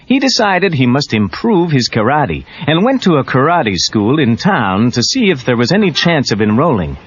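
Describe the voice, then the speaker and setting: A man's voice: medium pitch, sing-song, moderate pace, slight background noise. A man reading a scripted children's read-along story as narrator. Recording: dull lo-fi cassette tape. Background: prominent music.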